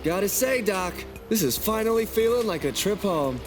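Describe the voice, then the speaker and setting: High-pitched cocky man playing an assassin. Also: lively intonation, moderate pace, music in the background. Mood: taunting, amused. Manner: playful, taunting. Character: cocky, taunting male assassin who relishes fighting. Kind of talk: acted fan dub of a visual novel.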